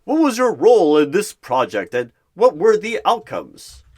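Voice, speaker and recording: A man's voice: high-pitched, wildly swooping pitch, measured pace, darkish tone, voice pushed up, full character voice. A man playing an eager, awkward recruiter in a roleplay job interview, with a stilted, theatrical manner. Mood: formal, probing. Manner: theatrical, announcer-like bursts, stilted third-person self-narration. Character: eager, awkward male recruiter narrating himself in third person.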